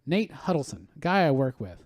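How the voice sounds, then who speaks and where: A man hosting a casual podcast chat, telling stories in an animated, quote-filled way. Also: on the high side, brisk. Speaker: podcast host.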